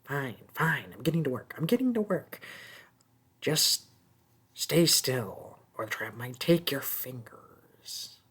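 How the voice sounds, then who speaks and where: A performer voicing a small, sneaky, mocking goblin thief in a fantasy audio roleplay, taunting and haggling in a husky, breathy voice that often drops to a whisper. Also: mid-range voice, sing-song, fairly bright tone, full character voice. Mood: exasperated, grumbling. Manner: taunting, haggling, drawn-out wheedling.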